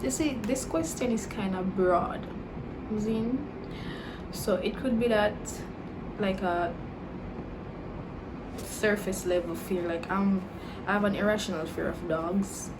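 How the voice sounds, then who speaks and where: A woman's voice: mid-range voice, takes it slowly, long pauses, background noise. A 21-year-old woman with a Caribbean English accent mixing Jamaican and Dominican, chatting casually as she answers viewer questions, with a lot of "like" and "you know". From Caribbean, Jamaican and Dominican roots. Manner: chatty and relaxed, lots of "like" and "you know" fillers. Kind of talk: casual Q&A vlog chat.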